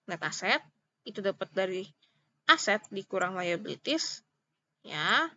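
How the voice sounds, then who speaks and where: A woman lecturer with an Indonesian accent explains things informally, one step at a time. Her voice is bright and forward, at an average pitch for a woman. She repeats key points and often checks in with short questions, on a slightly muffled, lo-fi recording. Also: big pitch swings, a little slow, no echo. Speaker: accounting lecturer.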